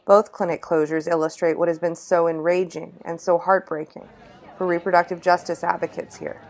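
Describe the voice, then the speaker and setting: A woman with a notably low voice narrates a news documentary from a script. Her delivery is measured and even, the steady tone of a reporter's voice-over.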